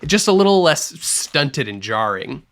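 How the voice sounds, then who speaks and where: A man teaching music production in a screen-recorded tutorial, explaining each step clearly and with upbeat energy. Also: fairly high pitch, big pitch swings, unhurried, fairly bright tone.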